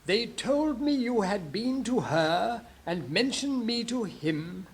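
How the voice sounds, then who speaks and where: A nervous, fussy rabbit courtier from a storybook , played by a woman. He has a high voice and speaks in a prim, careful, obsequious way, always deferential to his betters. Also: lively intonation, slow. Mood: prim reciting. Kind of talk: dramatised storybook reading.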